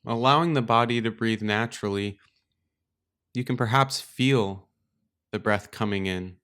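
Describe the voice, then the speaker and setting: Smooth, low-voiced man guiding meditation. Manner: calm, gentle, unhurried phrasing with pauses. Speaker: meditation teacher and podcast host. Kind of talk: guided meditation, slow and instructional.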